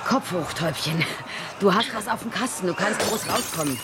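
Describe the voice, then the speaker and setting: A woman's voice: mid-range voice, expressive, moderate pace, natural voice. An actress playing a cocky, bossy female wrestler in a radio drama, commanding and mocking as she talks. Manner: mocking pet names, commanding. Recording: noisy ambient background.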